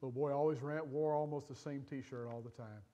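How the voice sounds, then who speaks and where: An older man preaching from a church stage, telling a personal story with pauses and feeling. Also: mid-range voice, fairly even intonation, mellow, a little hiss or hum. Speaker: pastor. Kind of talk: sermon storytelling.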